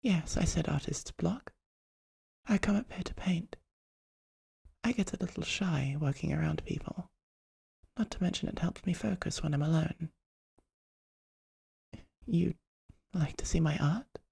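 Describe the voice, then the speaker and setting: A performer acting as a polite, poetic vampire artist in an ASMR roleplay. The voice is very breathy and husky, crackling with heavy fry. It stays soft, often near a whisper, with a shy, gently formal manner. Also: mid-range voice, unhurried, pauses often, exaggerated voice. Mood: shy, hesitant.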